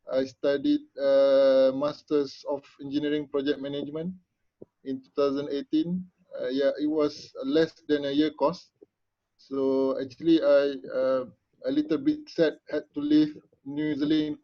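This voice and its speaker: A man speaking as a webinar panelist over a video call, hesitant with frequent fillers. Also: mid-range voice. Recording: dull video-call audio.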